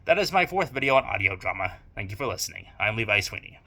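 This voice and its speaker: A young man reading a scripted video essay with advice on audio drama, speaking in a measured, formal way and using dry, emphatic repetition. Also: on the low side, very animated, pushed and bright.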